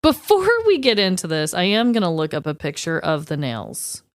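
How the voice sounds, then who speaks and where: Lower-pitched woman podcast host. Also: very animated, moderate pace, no echo, clean studio sound. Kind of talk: scripted storytelling narration, then casual chat.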